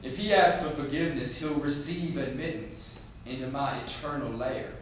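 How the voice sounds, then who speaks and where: A man playing a solemn, vengeful divine spirit, making measured rhyming pronouncements in a theatrical monologue. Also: a little high, voice pushed up, lightly acted. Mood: solemn, condemning. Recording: very echoey room, distant mic, faint noise.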